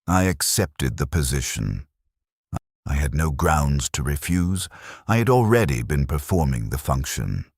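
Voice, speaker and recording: A man's voice: extremely low pitch, sing-song, a little slow, a little mellow, slightly rough, no room sound, studio quality, exaggerated voice. A man narrating as a guilt-burdened soldier in a war story, speaking in clipped, formal, detached sentences like a report. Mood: grim, detached confession. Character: guilt-burdened soldier, a logistics corporal, confessing coldly. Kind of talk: scripted first-person war story narration.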